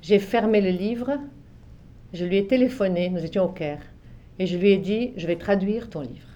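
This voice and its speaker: An older woman from Egypt, raised on Arabic and French alike, talks about her life as a translator. Her voice is dark and mellow, and she muses, circles back and repeats herself as the memories come. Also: mid-range voice, normal speed, dull audio. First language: Arabic and French, both native. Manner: reflective, many restarts and soft trailing phrases. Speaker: literary translator. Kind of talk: relaxed spoken recollection.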